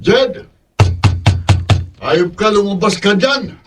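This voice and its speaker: As the actor, play a furious Filipino dad in radio melodrama: forceful, menacing, pitched a little high. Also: male voice, animated, slow, over music. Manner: forceful, threatening. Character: angry, vengeful adult father in a horror drama. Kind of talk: melodramatic radio drama acting.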